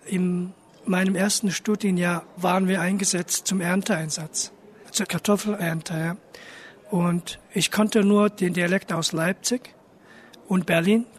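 A man in his fifties from Mongolia, speaking German with a Mongolian accent in a personal portrait, thoughtful with frequent hesitations. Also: sits high. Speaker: interviewee.

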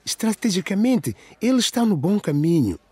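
A man's voice: high-pitched, very animated, normal speed, dark tone, slightly rough, no echo, pitched above the performer's own voice, exaggerated voice. A man voicing a composed, resigned female member of parliament in a radio drama, speaking formally. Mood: firm, reasoning.

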